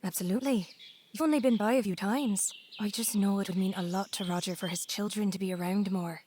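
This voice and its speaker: A woman playing an earnest young new wife in an audio drama, gentle and polite but persistent. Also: mid-range voice, a little fast, close to an everyday voice. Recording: faint noise, birdsong behind the voice.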